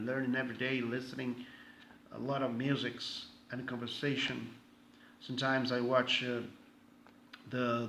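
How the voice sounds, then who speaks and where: Slow halting Brazilian man, echoey room. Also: mid-range voice, fairly bright tone. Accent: Brazilian Portuguese. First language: Portuguese. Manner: halting, searching for words, frequent restarts. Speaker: group member introducing himself. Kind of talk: casual self-introduction. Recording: echoey room, faint ambient noise.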